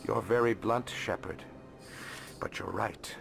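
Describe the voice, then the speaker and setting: Shrewd polite alien money man from a video game, deep voice, music behind him. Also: slow, gravelly, exaggerated voice. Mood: confiding. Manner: polite, formal, knowing. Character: shrewd, courteous alien financial advisor and information agent. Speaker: financial advisor. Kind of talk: video game character acting.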